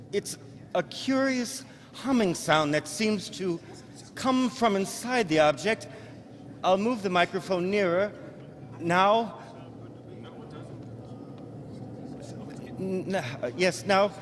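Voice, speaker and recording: An actor playing an excitable, horrified male news reporter who stammers through live eyewitness commentary in an old-time radio drama. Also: high-pitched, a little slow. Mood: excited, curious. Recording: echoey hall, stage radio play.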